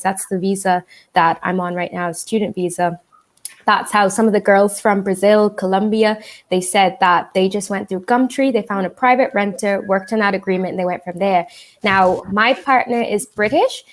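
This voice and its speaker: A woman from the Bahamas talks in a relaxed, chatty way on an online panel. She explains things step by step and restarts a phrase now and then. Her voice sits in a middle register and comes through a video call. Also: medium pitch. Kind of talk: casual panel talk. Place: home in Scotland, video call.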